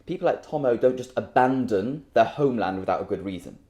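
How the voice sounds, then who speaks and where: An earnest, defensive man in a bickering romantic couple, played by a male actor. His voice sits at a medium pitch, and he talks in an understated way, as in a naturalistic stage drama. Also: expressive, brisk, a little mellow, natural voice. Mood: earnest, passionate.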